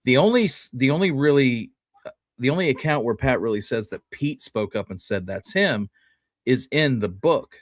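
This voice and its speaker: A man's voice: medium pitch, lively intonation, normal speed, dry recording. A man hosting a history show, talking casually and often restarting his phrases as he makes a point.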